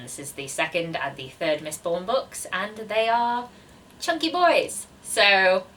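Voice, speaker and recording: A woman's voice: lowish voice, fairly bright tone, far from the mic, slight background noise, poor audio. A woman in her twenties chatting casually to camera as a book vlogger, with a self-deprecating, chatty manner. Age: 20s.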